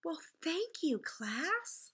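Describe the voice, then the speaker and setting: A woman's voice: very high-pitched, sing-song, extremely slow, a bit bright, pitched above the performer's own voice, full character voice. A woman reading as an anxious storybook schoolteacher bracing for her class, with dramatic delivery. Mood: relieved, touched.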